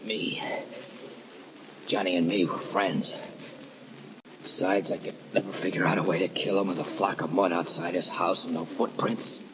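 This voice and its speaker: An actor playing a wounded, sarcastic gangster in an old radio drama, tough, dismissive and wisecracking. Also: male voice, low-pitched, steady tone, normal speed, pauses often, lightly acted. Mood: fading. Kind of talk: 1940s radio crime drama acting. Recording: noisy, muffled old radio recording.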